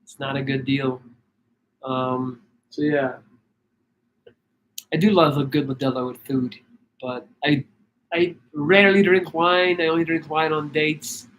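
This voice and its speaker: A man from Belgium talks in a loose, rambling way as he answers viewers, full of "like" and "man" and quick restarts. His pitch sits a little higher than usual for a man. A faint noise runs under the recording. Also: fairly even intonation, fairly bright tone, rough recording. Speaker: livestream host answering viewer questions. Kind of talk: casual chat reading comments.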